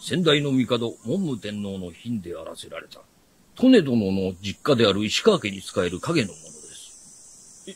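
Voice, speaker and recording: An actor playing a stern retainer of a noble house, speaking formal, archaic Japanese with haughty deference in a period fantasy radio drama. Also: male voice, lowish voice, very animated, measured pace, slightly rough, exaggerated voice. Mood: grave, disdainful.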